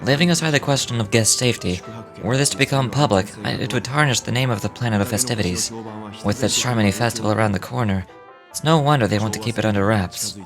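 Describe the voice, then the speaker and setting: A man playing a thoughtful, composed traveler in a video game, reading formal, measured lines with small stumbles. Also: fairly low pitch, music in the background, natural voice. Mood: pensive, serious. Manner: formal, measured, stumbles over scripted words. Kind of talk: live read-aloud of video game dialogue.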